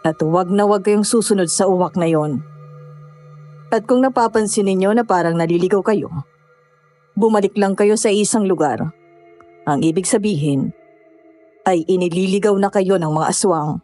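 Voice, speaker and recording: A woman playing a solemn mystic in a horror audio drama, speaking gravely and commandingly. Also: medium pitch, normal speed, long pauses, warm tone, soft background music, close to an everyday voice. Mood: grave warning. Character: solemn mystic woman warning mountain climbers.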